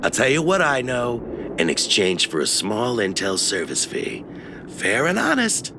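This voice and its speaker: A man's voice: mid-range voice, huge pitch swings, measured pace, noisy background. A man voicing a shifty, blustering merchant in a video game, theatrical and wheedling, proud of his reputation and easily cowed. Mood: sly, haggling.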